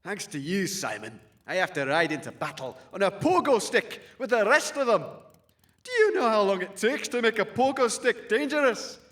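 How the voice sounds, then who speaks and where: An actor playing a swaggering, menacing raider chief who fancies himself a comedian, in a comic audio drama. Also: male voice, high voice, sing-song, normal speed, a bit bright. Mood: furious bullying. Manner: bullying, showboating, flips from jokey to threatening.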